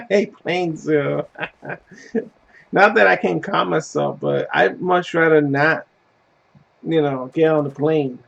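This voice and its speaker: A man's voice: a little high, very slow, gravelly, long pauses, highs cut off. A man, chatting casually and cursing while streaming a game. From Puerto Rico. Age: 30s. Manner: laid-back, profane, laughing easily. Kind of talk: casual chat while gaming. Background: faint game music.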